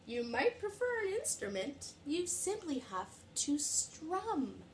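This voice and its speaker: A woman voicing a helpful male lion who gently explains things, reading from a children's picture book. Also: high voice, big pitch swings, slow pace, echoey room, slight background noise, voice pushed up, heavily acted. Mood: coaxing.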